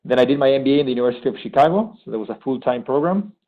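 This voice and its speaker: A man, giving an eager, halting testimonial with many restarts. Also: medium pitch, lively intonation. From Argentina. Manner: enthusiastic, halting with frequent restarts. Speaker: graduate program participant. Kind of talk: unscripted testimonial. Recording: thin phone-like sound.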